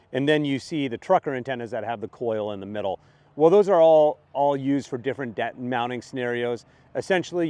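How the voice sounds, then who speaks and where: A man's voice: mid-range voice, a little mellow, no room sound. A man answering questions as a product expert, conversational and matter-of-fact, thinking aloud as he explains. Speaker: radio shop expert answering viewer questions. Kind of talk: informal Q&A explainer.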